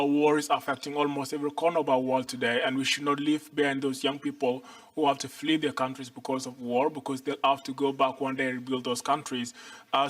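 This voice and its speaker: A man in his twenties from Ethiopia, giving earnest, deliberate panel remarks and repeating his key points. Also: mid-range voice, a bit bright, no echo. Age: 20s. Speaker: panel speaker. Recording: mic far off, dry sound.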